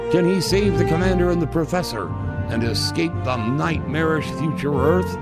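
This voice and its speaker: A man narrates like a cliffhanger serial announcer, theatrical and lively. His pitch swings widely over loud music.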